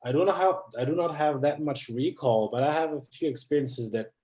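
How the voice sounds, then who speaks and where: A man's voice: a little high, fairly quick, mellow, roomy sound. A man in his thirties, a non-native English speaker from France, talking as a guest in a hesitant way with many restarts. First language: not English. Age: 30s. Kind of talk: conversational interview.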